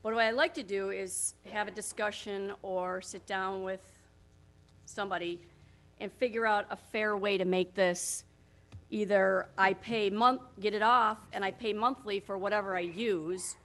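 A property manager with a loud voice, presenting figures at a town council work session over a room microphone in council chambers. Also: female voice, medium pitch, steady tone, measured pace. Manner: plainspoken, talks through her figures with frequent "so what I did".

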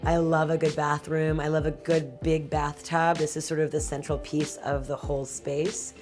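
A woman's voice: low voice, flat delivery, moderate pace, over music. A woman in her thirties showing guests around her home in flowing, enthusiastic casual talk, full of "sort of" and "like". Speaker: homeowner giving a house tour. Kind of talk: casual tour chat. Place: indoor house rooms.

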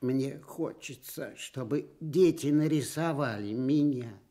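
A gentle, wistful sidekick on a children's radio show, played by a man. The voice is mellow and unhurried, with a soft pleading manner. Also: medium pitch, close to an everyday voice.

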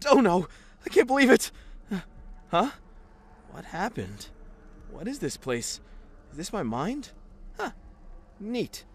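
A man's voice: high voice, huge pitch swings, takes it slowly, long pauses, breathy edge, full character voice. A man voicing an anxious, timid young robot in a comic dub, stammering and pleading. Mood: nervous, confused. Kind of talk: fan comic dub acting. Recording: music behind the voice.

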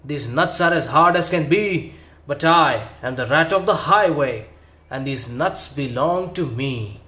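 A man voicing a greedy, bullying male rat highwayman in a children's storybook reading, loud and gloating. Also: lively intonation, slow, echoey room, heavily acted. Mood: bellowing.

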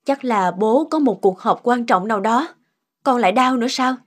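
A woman's voice: a little high, normal speed, natural voice. A woman voicing a caring, worried mother of a young girl in a cartoon dub. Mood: worried, soothing. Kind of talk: cartoon dubbing.

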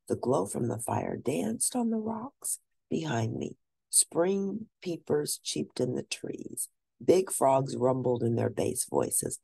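A woman with a low, husky voice reads a children's story aloud. Her pitch swings very widely as she narrates, and she lingers on key words with deliberate expression. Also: mellow, no room sound. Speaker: storyteller reading a children's book.

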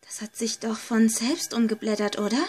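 A woman's voice: on the high side, steady tone, normal speed, a little mellow, breathy edge. A woman playing a frightened, hesitant girl exploring a haunted villa in an amateur horror audio drama. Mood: nervous. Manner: hesitant, anxious.

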